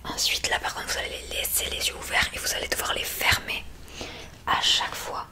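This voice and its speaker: A woman doing an ASMR roleplay in a breathy whisper, softly guiding the listener step by step into a close microphone. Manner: soft, unhurried, step-by-step instructing. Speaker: ASMR roleplay host, examiner giving relaxation tests.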